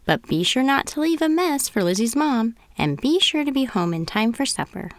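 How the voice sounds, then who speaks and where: Firm mother bear played by a woman, expressive. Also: on the high side, normal speed, dry recording, studio quality. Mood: firm, permissive. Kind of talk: children's storybook character reading.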